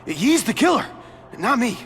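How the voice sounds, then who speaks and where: A high-voiced, panicky pleading captive. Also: male voice, big pitch swings, a little slow, gravelly, fairly bright tone, slight background noise, full character voice. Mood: panicked pleading. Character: frightened, pleading male ad salesman held captive. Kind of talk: video game voice acting.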